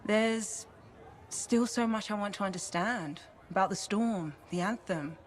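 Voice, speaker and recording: A woman's voice: medium pitch, measured pace, lightly acted. A woman acting as an earnest, curious explorer in a video game, articulate, sincere and reflective.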